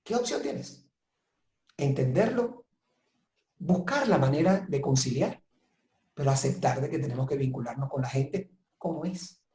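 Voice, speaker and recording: Man in an echoey room, mellow voice. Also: mid-range voice, expressive, unhurried, long pauses. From southern Italy. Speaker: business consultant, guest. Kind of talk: webinar interview, giving advice. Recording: roomy, echoing sound.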